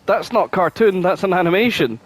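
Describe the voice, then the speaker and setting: A man with a slightly high voice and very lively intonation, chatting casually as a podcast co-host. He talks in an exclamatory way and swears freely. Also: a little fast. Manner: foul-mouthed, exclamatory. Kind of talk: casual chat.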